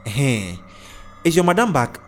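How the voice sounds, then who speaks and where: A man's voice: medium pitch, very animated, moderate pace, faint music behind, voice pushed up, lightly acted. Stern, snappish Nigerian chief acted by a man. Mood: commanding, probing. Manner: commanding, snappish. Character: domineering Nigerian father, a chief, stern and impatient.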